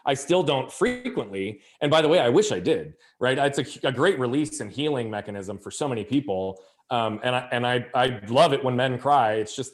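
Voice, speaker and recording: A man in his thirties, a podcast guest and coach, telling his story in a candid, energetic way, often restarting his sentences. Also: mid-range voice. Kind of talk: conversational interview storytelling.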